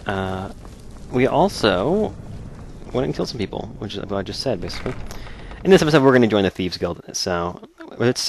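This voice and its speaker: A man hosting a let's play, giving casual, wry, rambling commentary. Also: deep voice. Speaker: gaming video host.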